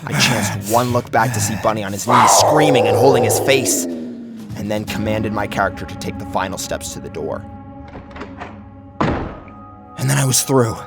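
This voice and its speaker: A man's voice: on the low side, moderate pace, pauses often. A man narrating a first-person horror story in an intense, theatrical, scripted style. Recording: clear music bed under narration.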